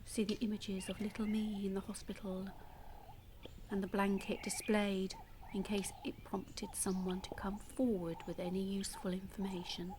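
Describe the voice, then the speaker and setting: A woman's voice: mid-range voice, flat delivery, normal speed, noisy background, close to an everyday voice. Actress as a bitter, brooding Englishwoman, background ambience. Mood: wistful, wry. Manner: confiding, brooding, often dropping to near-whisper. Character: bitter, damaged woman abandoned as a baby, quietly menacing. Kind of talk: intimate dramatic monologue in an audio play.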